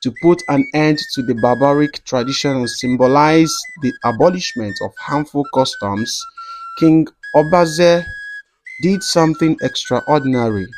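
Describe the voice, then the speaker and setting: A man reading a scripted folktale as a narrator, in a measured, dramatic storytelling delivery. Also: medium pitch, darkish tone, poor audio. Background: background music.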